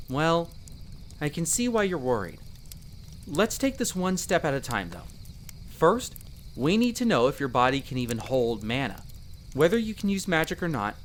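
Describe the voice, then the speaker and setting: A performer voicing a young male elf explorer in a roleplay audio drama, patiently and gently coaching the listener as a magic teacher. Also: medium pitch, a little hiss or hum, lightly acted. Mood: reassuring. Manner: gentle, reassuring, coaching step by step.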